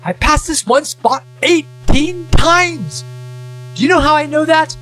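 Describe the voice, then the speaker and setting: A man's voice: very high voice, unhurried, pushed and bright, faint music behind, heavily acted. A man playing a wry, wordy young companion in an audio drama, prone to sarcasm and self-pity. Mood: exasperated, self-pitying. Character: sarcastic, self-pitying young male companion, amateur psychoanalyst.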